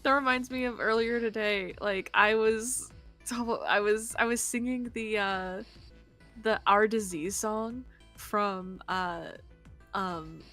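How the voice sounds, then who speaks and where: A young woman co-hosting a game stream with casual, animated, rambling commentary peppered with "like". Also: medium pitch, takes it slowly. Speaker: streamer co-hosting a visual novel playthrough. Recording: background music under the voice. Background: background music.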